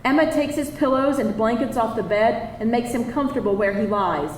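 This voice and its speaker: A woman's voice: on the high side, a little fast. Woman narrator in a roomy hall. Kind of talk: scripted narration of screenplay stage directions. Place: live event room. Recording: roomy, distant, faintly noisy live reading.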